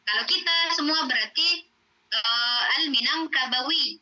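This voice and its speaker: A woman's voice: high voice, measured pace, bright, forceful tone, muffled recording. A woman Arabic teacher, patiently explaining in an online class with many fillers.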